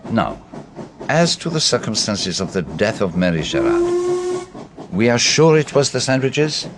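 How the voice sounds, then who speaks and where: An actor playing a shrewd, courteous detective with a French-speaking European accent and formal, deliberate, probing phrasing in a radio drama. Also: male voice, mid-range voice, wildly swooping pitch, very noisy. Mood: thoughtful. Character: shrewd, courteous foreign male private detective, probing and precise.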